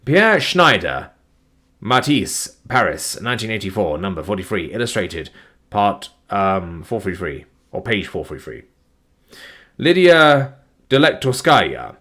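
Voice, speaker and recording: A tired man, reading long file listings aloud on a live stream in a flat, plodding way, his voice starting to give out. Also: lowish voice, unhurried. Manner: flat, plodding reading of numbers with weary asides. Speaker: document narrator.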